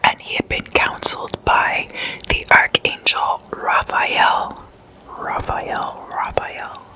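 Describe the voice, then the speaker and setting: Slow whispering ASMR host. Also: rough recording. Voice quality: unvoiced breathy whisper. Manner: slow, intimate, repeats words softly. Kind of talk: ASMR, whispered casual storytelling. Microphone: close microphone.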